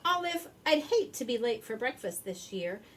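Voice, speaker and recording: A woman's voice: a little high, sing-song, normal speed, a little hiss or hum. A woman voicing a kindly, hearty old man, Father Christmas, in a children's storybook, with emphatic exclamations. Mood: coaxing. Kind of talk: children's storybook character reading.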